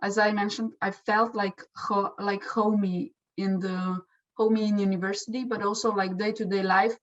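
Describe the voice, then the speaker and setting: A woman's voice: mid-range voice, flat delivery, moderate pace, highs cut off. A young woman sharing her experience as an exchange student in a casual testimonial, speaking with many restarts and fillers. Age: young adult.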